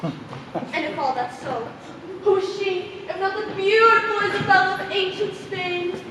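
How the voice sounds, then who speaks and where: A young woman on stage playing a flustered, contrary committee member, excitable, stammering and repeating herself. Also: very high-pitched, unhurried. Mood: indignant. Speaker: stage actor. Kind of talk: live stage play acting. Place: theatre stage, recorded from far off. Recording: echoey theatre, distant mic, noisy.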